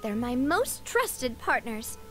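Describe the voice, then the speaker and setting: A woman's voice: fairly high pitch, sing-song, slow pace, a bit bright, faint music behind, full character voice. A woman voicing a poised, sincere young lady leader in an anime game, gracious and composed. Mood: warm.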